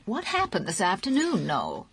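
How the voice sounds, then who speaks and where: A woman's voice: mid-range voice, huge pitch swings, normal speed, fairly bright tone, exaggerated voice. An actress playing a breezy, worldly female confidante in a radio drama, airy and teasing in manner. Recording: clear background noise with clinking.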